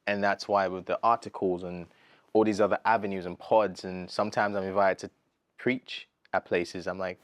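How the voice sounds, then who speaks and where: A man's voice: sits low, normal speed, no room sound. A British man of thirty, a gospel artist talking earnestly and casually in an interview, full of slang. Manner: earnest, animated, slangy, says bro a lot.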